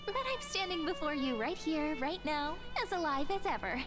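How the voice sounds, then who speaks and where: A woman playing a gentle, teasing, wistful young woman in a video game. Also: high voice, animated, normal speed. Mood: playful, teasing. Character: playful, gentle young woman reborn from a spirit companion. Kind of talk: video game character acting. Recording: game music behind the voice.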